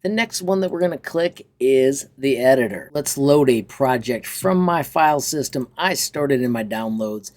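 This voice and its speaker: A man's voice: mid-range voice, lively intonation, normal speed, few pauses, a little mellow. Chatty, enthusiastic male tutorial host. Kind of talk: informal software walkthrough with upbeat sign-off.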